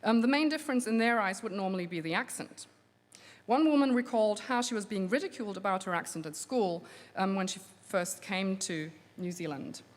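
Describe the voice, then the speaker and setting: Woman lecturing in a reverberant hall. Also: medium pitch. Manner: measured, reads findings with frequent um fillers. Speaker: linguistics researcher giving a plenary talk. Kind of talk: academic conference lecture.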